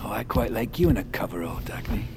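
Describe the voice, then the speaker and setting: A man acting in an audio drama as a grandiose, courteous visionary host, suave and measured like a showman. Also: on the low side, very animated, vocal fry, noisy background. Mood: courteous, teasing. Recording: music behind.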